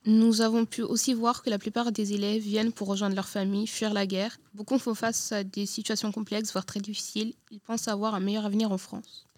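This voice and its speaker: A woman reads scripted questions and findings as a student reporter. Her intonation is flat, and the recording is dry. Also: mid-range voice, brisk, few pauses, no room sound.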